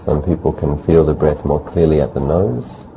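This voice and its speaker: A man teaching a guided meditation as a monk, speaking calmly in short, measured phrases with pauses. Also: rumbling low, creaky, rough recording. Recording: faint background noise.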